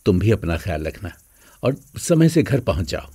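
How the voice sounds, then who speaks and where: A man voicing an earnest middle-aged father in an animated moral story. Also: deep voice, normal speed, breathy edge, exaggerated voice. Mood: grateful, fatherly.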